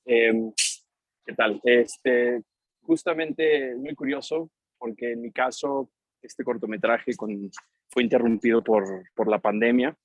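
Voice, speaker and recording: A student filmmaker answering on a panel, halting with restarts. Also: male voice, medium pitch, fairly even intonation, no room sound, narrow, tinny audio. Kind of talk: panel answer on a video call.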